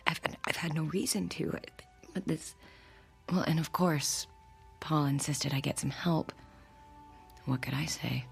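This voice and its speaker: Shaken married lady on the therapist couch, radio play, breathy n nervous, halting, bit of music under it. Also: sits low, exaggerated voice. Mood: shaken, confessing. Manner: anxious, halting, confessional. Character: distraught married woman confiding a strange experience to psychiatrist. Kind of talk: radio drama acting.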